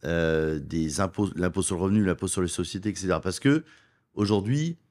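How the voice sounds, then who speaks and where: A middle-aged man from France, a wealth advisor in a studio interview, making his case persuasively and listing points in quick runs. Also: low voice, a little mellow. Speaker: wealth advisor and property investment guest. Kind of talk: conversational interview.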